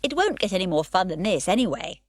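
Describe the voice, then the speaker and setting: A woman's voice: mid-range voice, big pitch swings, fast, no room sound, studio quality. A woman voicing a cheeky, supremely strong little girl who talks in a breezy, cocky way. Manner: breezy, cocky, matter-of-fact.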